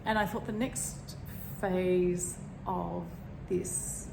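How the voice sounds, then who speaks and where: A woman with a slightly croaky voice, gently talking through a meditation and often pausing to find her words. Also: on the low side, steady tone. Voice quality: croaky, frog in throat. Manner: gentle, rambling, searching for words. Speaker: meditation teacher. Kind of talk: guided meditation talk. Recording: echoey room with background noise.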